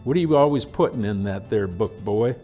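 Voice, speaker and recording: A man doing a gruff frontier scout. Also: on the low side, expressive, fairly quick, warm tone, faint music behind, lightly acted. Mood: weary. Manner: folksy, gritty, plain-spoken.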